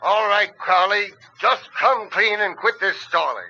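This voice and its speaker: An actor playing a bullying, accusing police interrogator in a 1940s radio drama, hard and badgering. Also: male voice, high voice, a little slow, vocal fry, bright, forceful tone, faint music behind, heavily acted. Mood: aggressive, accusing. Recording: muffled 1940s radio broadcast.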